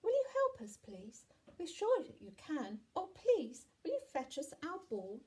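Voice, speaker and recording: Woman putting on a high, eager little child. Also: wildly swooping pitch, unhurried, a little mellow, heavily acted. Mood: admiring, pleading. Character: sweet, pleading, admiring little children.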